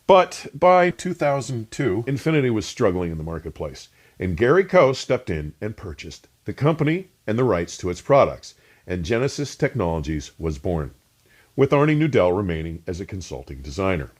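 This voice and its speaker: A man reading scripted, documentary-like narration as a host, measured and emphatic, with precisely pronounced technical terms. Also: fairly low pitch, sing-song. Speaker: host and narrator of an audio review channel.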